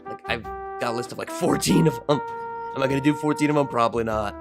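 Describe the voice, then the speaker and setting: A woman's voice: extremely low pitch, fast, gravelly, music in the background. A young adult woman who narrates fan fiction and chats casually as host of her channel.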